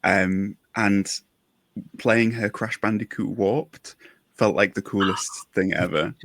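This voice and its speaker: A man hosting a podcast in a relaxed, reflective and talkative way. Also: on the low side, slow pace, no echo. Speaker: podcast host. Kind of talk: casual podcast conversation.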